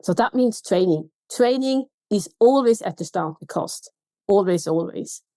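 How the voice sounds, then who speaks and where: A woman's voice: mid-range voice, expressive, unhurried, mellow, no echo. Swiss woman, emphatic, dry close sound. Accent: Swiss German. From Switzerland. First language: Swiss German. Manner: emphatic, repeats words for stress. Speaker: guest consultant. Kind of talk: podcast interview.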